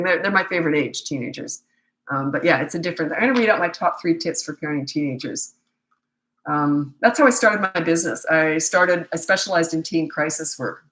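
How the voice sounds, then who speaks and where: A woman in her sixties speaks with a fairly low voice, worn thin by a cold that is making her voice give out. She answers parenting questions in a live stream, blunt and matter-of-fact, often asking rhetorical questions. The sound carries a roomy echo. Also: a bit bright. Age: 60s. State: has a cold, voice giving out. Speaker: parenting coach.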